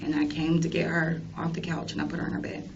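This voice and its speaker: A woman's voice: low-pitched, monotone, fast talker, creaky, a little mellow. A young woman answering police questions in a tentative, matter-of-fact way. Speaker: interviewee in a police interrogation. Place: indoor police interview room. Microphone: distant room microphone. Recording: distant, muffled, noisy interview recording.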